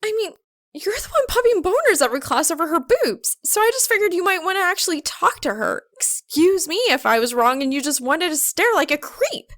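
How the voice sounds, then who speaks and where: A woman voicing a flustered, earnest young college woman who talks haltingly and gets defensive, in an audiobook story. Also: very high voice, sing-song, fairly bright tone, no room sound, clean studio sound, voice pushed up, exaggerated voice. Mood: indignant, challenging. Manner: halting, stammering, blurting.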